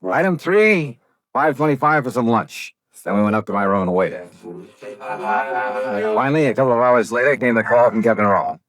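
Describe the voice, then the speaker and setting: An actor playing a shrewd, brisk insurance investigator in an American 1950s radio detective drama, clipped and self-assured. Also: male voice, mid-range voice, lively intonation, a bit bright, no echo, natural voice. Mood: wry narration. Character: shrewd, brisk male insurance investigator narrating his case. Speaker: lead actor and narrator.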